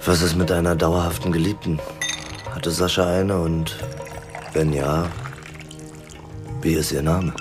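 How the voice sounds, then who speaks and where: Gruff boozy private eye, really deep voice, sardonic, radio drama with music under it. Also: male voice, little pitch movement, a little slow, pauses often. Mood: probing, matter-of-fact. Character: streetwise male private detective, blunt, hard-drinking, irritable. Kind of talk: German radio drama acting. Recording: music behind the voice.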